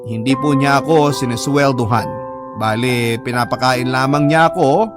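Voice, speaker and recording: A man's voice: medium pitch, moderate pace. A man reading a listener's heartfelt letter aloud as earnest, polite scripted narration. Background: background music.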